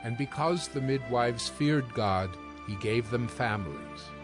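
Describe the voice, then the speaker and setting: A man narrating scripture from a script in a measured, solemn manner. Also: medium pitch, over music.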